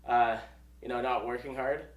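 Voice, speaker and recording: A man's voice: medium pitch, steady tone, moderate pace, reverberant, distant mic. A man in his twenties giving wry, self-deprecating interview answers. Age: 20s. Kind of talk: church video interview.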